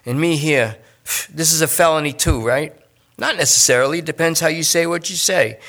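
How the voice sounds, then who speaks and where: A man voicing a jittery, talkative male junkie who rambles and pleads in fast bursts. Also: fairly high pitch, a bit bright, pitched above the performer's own voice. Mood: pleading.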